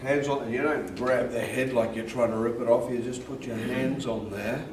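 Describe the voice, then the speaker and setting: An Australian man with a deep voice, calmly and deliberately teaching and demonstrating hypnosis in a soft-spoken, repetitive way. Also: background noise. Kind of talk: hypnosis instruction and induction, softly spoken.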